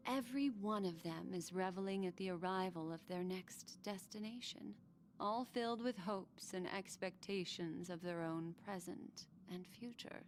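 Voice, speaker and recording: Slow, coy, enigmatic woman played by an actress. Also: medium pitch, darkish tone, a little hiss or hum, close to an everyday voice. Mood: soft, teasing. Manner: measured, coy, theatrical, elegant phrasing. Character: enigmatic, teasing, seductive memory-keeper woman, poised and unhurried. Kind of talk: scripted video game character dialogue. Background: background music.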